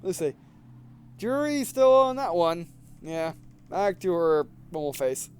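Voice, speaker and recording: A man in his twenties giving casual, halting let's play commentary, often repeating phrases as he goes. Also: high voice, sing-song, darkish tone, smooth. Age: 20s. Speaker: gaming video host. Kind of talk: casual let's play commentary, reading game text aloud.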